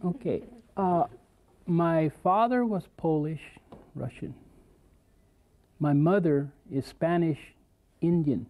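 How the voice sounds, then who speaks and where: Relaxed young American man. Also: fairly high pitch, slow pace, long pauses, narrow, tinny audio. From southwestern United States. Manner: relaxed, hesitant with fillers. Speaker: guest, teacher trainee. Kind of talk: classroom guest answering questions. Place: school studio.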